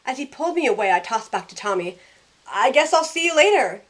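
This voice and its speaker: A woman's voice: sits high, very animated, brisk, fairly bright tone. A young woman playing a sassy, loyal modern heroine, narrating in the first person in a wry, conversational way. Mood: startled, curious. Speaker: narrator and lead character. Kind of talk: first-person story narration with in-character dialogue.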